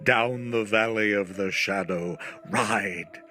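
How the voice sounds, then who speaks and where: A man voicing a ghostly pilgrim shadow in a poem, solemn and commanding, with a declamatory, drawn-out delivery. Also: fairly low pitch, bright, forceful tone. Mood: solemn, urging. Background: music.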